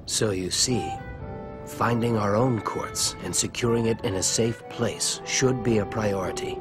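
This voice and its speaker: Male actor as cold scheming researcher, lowish voice, measured, formal, clear music behind, game cutscene. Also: natural voice. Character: calm, calculating male researcher of grim schemes. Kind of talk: dramatic video game dub.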